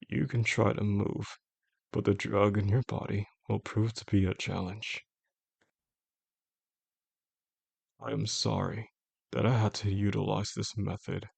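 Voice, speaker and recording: A man playing a possessive, soft-spoken boss in an intimate audio drama, talking gently and with control straight to the listener in a low, gravelly voice. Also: no echo, heavily acted. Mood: calm, menacing. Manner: slow, soothing, gentle, controlled. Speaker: voice actor.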